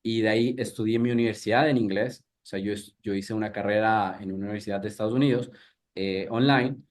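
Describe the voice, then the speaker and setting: A man's voice: on the low side, steady tone, fast, a little mellow. Man in his thirties teaching a workshop. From Ecuador. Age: 30s. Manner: conversational, many restarts and fillers, asks the audience questions. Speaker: English teacher. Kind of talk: live workshop talk.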